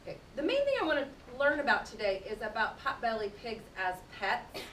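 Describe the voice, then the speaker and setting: Roomy, higher-pitched woman teaching young children. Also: very animated, a little fast, a bit bright. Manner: explanatory, addresses young children directly. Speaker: teacher reading aloud to children. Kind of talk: classroom read-aloud with conversational explanations. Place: indoor room, speaking to a class. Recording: roomy, distant mic with rumbling noise.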